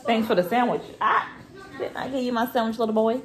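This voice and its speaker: A woman in her thirties chatting casually to a phone camera in her home kitchen, relaxed and teasing. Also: fairly high pitch, fast, crowd noise. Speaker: vlogger and mother. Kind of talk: casual home vlog chat. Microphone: phone camera held at arm's length.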